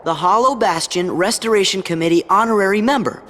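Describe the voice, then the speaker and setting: A man's voice: high-pitched, a little fast, a bit bright, a little hiss or hum. An actor playing an earnest, upbeat teenage boy hero in a video game cutscene. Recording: long echoing reverb, faint music.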